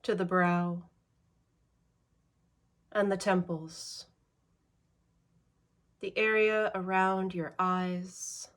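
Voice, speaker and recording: A woman leading a guided meditation in a measured way, leaving long pauses between short phrases. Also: lowish voice, fairly even intonation, fairly bright tone.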